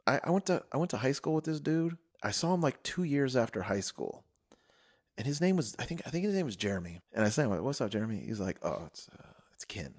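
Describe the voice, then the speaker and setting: A man's voice: medium pitch, brisk, husky. A young man co-hosting a podcast, telling loose, rambling stories in casual chat and swearing freely. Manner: loose, rambling, swears a lot, animated storytelling. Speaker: podcast co-host.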